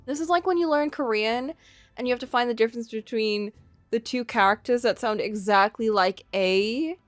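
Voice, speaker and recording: Chatty woman streamer over soft music. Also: fairly high pitch, moderate pace, no echo. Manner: chatty, thinking aloud. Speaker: streamer playing a visual novel. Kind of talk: casual livestream chat and reading game text aloud.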